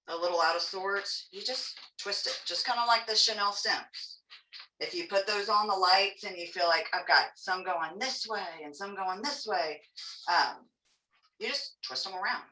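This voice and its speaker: A woman from Texas with a Texan accent leads a hands-on craft lesson, chatty and folksy, teasing herself as she goes. Her voice sits fairly low but comes across bright and forceful. She stands a little back from the mic, and the room gives a clear echo. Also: normal speed, far from the mic. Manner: chatty, folksy, self-joking. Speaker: craft class instructor. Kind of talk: live online craft demonstration.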